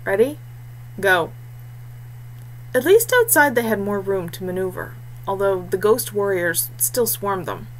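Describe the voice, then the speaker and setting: A woman's voice: medium pitch, sing-song, moderate pace, a little hiss or hum, low-quality recording. A woman reading a scripted fantasy story aloud as its narrator.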